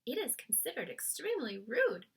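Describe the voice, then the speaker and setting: Woman voicing a prim, high-pitched young girl. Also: wildly swooping pitch, moderate pace, slightly rough, exaggerated voice. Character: young girl, polite, patient, curious.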